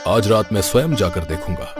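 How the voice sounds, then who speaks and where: A man voicing a calm, dignified, wise king in a cartoon story, with music behind. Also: lowish voice, brisk, close to an everyday voice. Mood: regal. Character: kind, wise male king. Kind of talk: cartoon voice acting. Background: background music.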